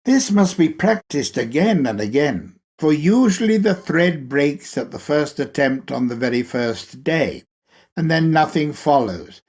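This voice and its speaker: A man reading a spiritual lecture aloud in a measured, emphatic manner. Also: a little high, very animated, darkish tone, slightly rough. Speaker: reader of a lecture.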